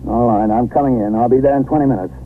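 An actor playing a businesslike, dutiful police captain with an American accent in a 1950s radio drama, matter-of-fact and authoritative. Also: male voice, mid-range voice, quick pace, close to an everyday voice. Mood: brisk, businesslike. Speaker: narrator and lead actor. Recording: narrow-band, noisy 1950s radio recording.

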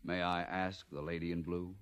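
An actor playing an urbane, self-assured gentleman detective in a 1940s radio mystery, polished and wry. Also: deep voice, flat delivery, moderate pace, clean tone. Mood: theatrical, deliberate.

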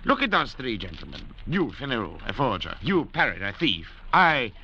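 Pompous, gravelly French doctor-murderer. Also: male voice, medium pitch, huge pitch swings, pushed and bright, full character voice. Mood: haughty, disdainful. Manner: pompous, measured, oratorical. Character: cold, haughty French doctor, convicted murderer adrift. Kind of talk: 1940s radio drama acting. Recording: dull, noisy vintage radio recording.

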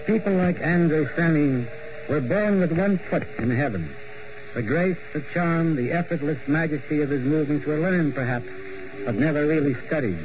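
Brief: man narrating, slightly high voice, lo-fi hissy vintage radio. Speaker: storyteller, guest narrator. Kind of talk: scripted narration. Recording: muffled old radio broadcast with hiss.

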